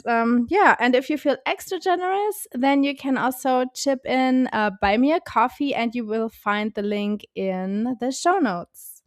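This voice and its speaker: A woman hosts a podcast in a friendly, chatty manner. Her voice sits fairly high and sounds close and dry, with no room around it. Her phrasing is loose and conversational. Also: no room sound. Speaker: podcast host. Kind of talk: podcast hosting and interviewing. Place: Texas, indoors.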